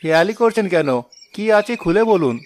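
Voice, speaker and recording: A man's voice: high voice, animated, brisk, a little hiss or hum. A man playing a young newcomer woodcutter in a cartoon, polite, inquisitive and sceptical. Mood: curious.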